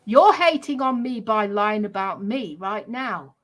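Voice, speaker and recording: A woman's voice: mid-range voice, lively intonation, a little slow, fairly bright tone. A woman live streamer delivering a confrontational monologue in an accusatory, emphatic, repetitive manner.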